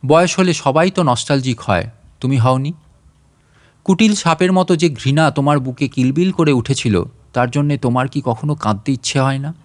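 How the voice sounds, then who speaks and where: A man reading a literary story aloud as a narrator, in an expressive, reflective and measured first-person delivery. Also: mid-range voice.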